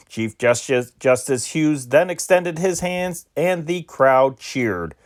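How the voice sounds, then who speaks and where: A man reading old newspaper news dispatches aloud in an amateur style, with occasional slips and restarts. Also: on the high side. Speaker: reader of historic news dispatches.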